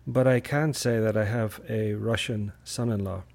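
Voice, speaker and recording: A middle-aged man from Northern Ireland speaks as a guest in a lowish, gravelly voice. His wording is measured and a little formal. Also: fairly even intonation, darkish tone. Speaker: podcast guest.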